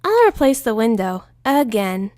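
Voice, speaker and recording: Brief: cocky, sporty female pegasus, lively delivery, put on by a woman reader. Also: a little high, unhurried, no echo, studio quality. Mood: brash. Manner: brash and blunt.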